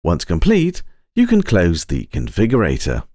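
A man with a low voice delivers scripted tutorial narration. His intonation moves widely while he gives measured, precise, step-by-step instructions. The recording is dry and close, as a voice-over. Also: huge pitch swings, a little mellow, dry recording.

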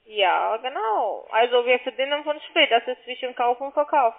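High-pitched woman on the phone. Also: expressive, moderate pace, gravelly. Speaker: call centre sales agent. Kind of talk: unscripted sales phone call. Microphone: telephone. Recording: muffled tinny phone line.

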